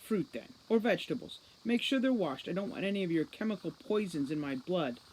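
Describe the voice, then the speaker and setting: A woman's voice: lowish voice, expressive, fairly quick, darkish tone, a little hiss or hum, natural voice, rough recording. A woman voicing a feisty captive female elf in a storybook, defiant, demanding and sarcastic.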